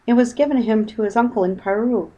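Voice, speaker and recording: An anxious storybook mother , performed by a woman reader. She exclaims and scolds fretfully in a raised, higher voice. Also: fast talker, warm tone, dull audio, close to an everyday voice.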